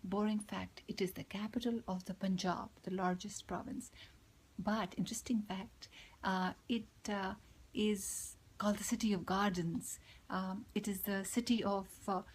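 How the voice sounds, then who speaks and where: A woman's voice: mid-range voice, darkish tone, husky. A middle-aged woman with a Pakistani accent from Lahore, telling a personal story reflectively and with many hesitant fillers, often dropping to a whisper.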